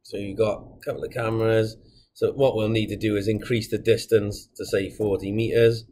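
A man in his thirties giving a casual software tutorial, explaining each step in a plain, informal way as a product demonstrator. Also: lowish voice, little pitch movement, normal speed. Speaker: product demonstrator for a security equipment supplier.

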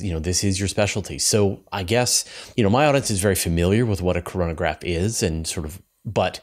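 A man's voice: deep voice, darkish tone. A middle-aged man hosting a conversational science interview over a video call. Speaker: interviewer, host. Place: indoor room, video call.

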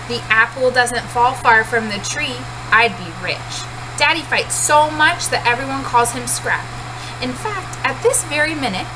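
A woman's voice: fairly high pitch, background noise. A woman in her thirties, a teacher reading a storybook aloud in first-person narration at home. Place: small cluttered room at home, indoors.

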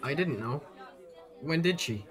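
An actor playing an earnest, polite young aspiring chef in a children's audio drama. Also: male voice, on the high side, expressive, measured pace. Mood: friendly, polite. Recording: faint crowd behind the voice.

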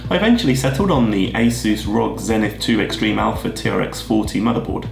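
A man, husky from a head cold, walking through his tech choices in a steady, methodical way. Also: on the low side, brisk. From Australia. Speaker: video creator. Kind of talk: scripted tech vlog explanation. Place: plain room indoors, seated at a desk. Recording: roomy echo with music behind.

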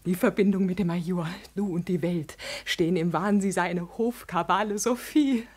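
A proud, passionate lady of the court, played by a woman in a vintage radio drama. Her voice is low and breathy, theatrical and intense, often sinking into hushed tones. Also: normal speed, lightly acted. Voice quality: husky, breathy. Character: passionate, proud noblewoman, mistress of a prince. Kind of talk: 1950s German radio play acting.

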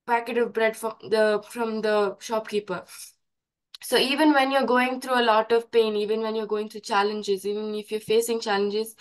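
Girl or woman sharing her take on a coaching call, voice a bit high. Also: low-quality recording. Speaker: participant in coaching session.

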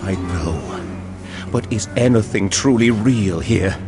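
A man's voice: lowish voice, takes it slowly, over music. A man voicing a grim immortal warrior in a dramatised fantasy audiobook, solemn and declamatory. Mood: troubled, bewildered. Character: doubting, grim immortal warrior, rousing commander in battle.

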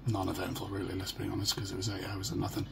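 A middle-aged British man with a hoarse, croaky voice, talking haltingly and in a downbeat way in a casual personal video diary. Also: low voice, monotone. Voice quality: croaky, gravelly. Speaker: vlogger. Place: small furnished room at home. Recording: noisy home phone or webcam recording.

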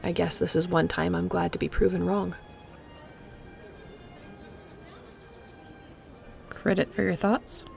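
A woman playing a guarded, wry spaceship captain in an intimate sci-fi audio roleplay, with a gravelly voice and dry, teasing, conversational delivery. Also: lowish voice, fairly even intonation, brisk, a little mellow, faint music behind. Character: guarded, wry female spaceship pilot captain, softening toward an old friend.

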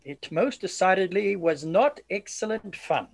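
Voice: medium pitch, expressive, unhurried, vocal fry. An actor playing a weary, haunted old sergeant major in a radio play, with guarded, ominous, old-fashioned courtly speech. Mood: grim, haunted.

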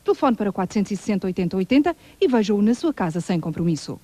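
A woman announces a TV shopping offer. She reads the script fast, in a dry, close recording. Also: medium pitch, very animated, mellow, no room sound. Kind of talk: fast scripted sales pitch.

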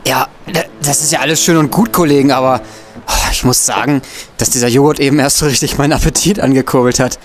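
A man playing an excitable, bookish young student detective in an audio drama, eager with hesitant starts. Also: medium pitch, fast talker, soft background music, lightly acted. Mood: earnest. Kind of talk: German audio drama acting.

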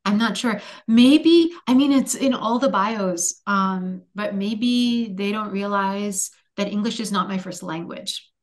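A woman in her forties whose first language is Hungarian, answering interview questions thoughtfully over a video call from a room at home, with many pauses and restarts. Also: medium pitch. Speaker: author guest.

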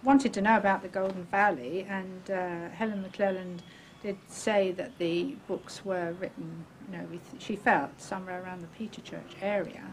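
A woman's voice: lowish voice, steady tone, normal speed, few pauses, slight background noise, poor audio. An older English woman in her sixties recalling things enthusiastically in a casual interview. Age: older, 60s. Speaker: interviewee, literary fan. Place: outdoors beside old stone building.

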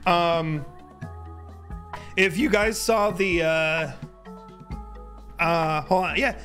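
Male streamer, early 30s, high hoarse voice, casual chat, close boom mic at home, music behind. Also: fairly even intonation, drawn-out words, a bit bright. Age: early thirties. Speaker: live streamer. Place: indoor home streaming room. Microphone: close boom-arm microphone.

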